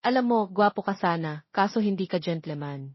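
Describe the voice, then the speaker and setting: A woman performs a feisty young single woman in a romance audiobook. She is defiant and indignant, mixing in English, with a slightly husky voice and a somewhat dull recording. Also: fairly low pitch, animated, a little fast, no room sound. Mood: tipsy, defiant. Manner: indignant, stubborn, code-switching into English.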